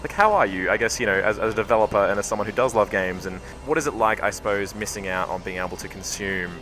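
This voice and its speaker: A man's voice: on the low side, fast talker, over music. A man interviewing a game developer, asking long, meandering questions. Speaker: podcast interviewer. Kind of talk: convention floor interview.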